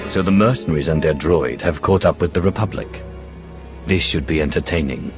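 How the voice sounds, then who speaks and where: An actor playing a cold, ruthless Sith lord who speaks in a measured, imperious way in a game cutscene. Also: male voice, rumbling low, music in the background. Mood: composed. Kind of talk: video game cutscene acting.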